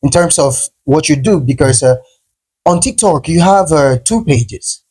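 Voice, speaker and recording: A man's voice: a little high, lively intonation, measured pace, a little mellow, breathy edge. A man hosting a casual podcast interview, talking with enthusiasm and heaping praise on his guest. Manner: enthusiastic, encouraging, repeats praise. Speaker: podcast host.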